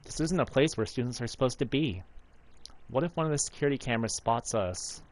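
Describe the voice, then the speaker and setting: A man's voice: medium pitch, expressive, fairly quick. A man in his thirties, a streamer reading a visual novel's story text aloud as narration. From United States, Alaska. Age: 30s. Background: faint game music.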